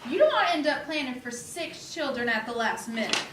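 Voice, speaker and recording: A woman's voice: high-pitched, animated, a little fast. A woman playing an insistent, fussy middle-aged mother in a stage drama. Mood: nagging. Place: indoor stage, mic far off. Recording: echoey, distant, noisy stage recording.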